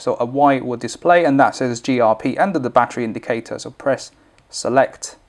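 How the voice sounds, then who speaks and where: A man's voice: medium pitch, a little mellow. A man presenting an informal product tutorial, explaining each step in a plain, instructional way.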